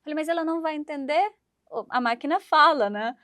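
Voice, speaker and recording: A woman in her thirties from Syria, speaking in a fairly high voice. She tells her story with animation, acting out the voices of the people in it. The sound is dry and close. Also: brisk, no room sound. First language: Arabic. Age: 30s. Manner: animated, acts out quoted voices, laughs while telling. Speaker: guest. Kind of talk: storytelling interview.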